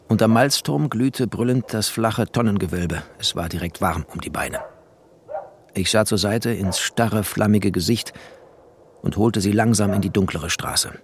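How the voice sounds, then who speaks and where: A man's voice: on the low side, a little slow, a little hiss or hum. A man playing a bookish, wry refugee narrator in a literary radio play, speaking in an intimate, sardonic way. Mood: lyrical, tender.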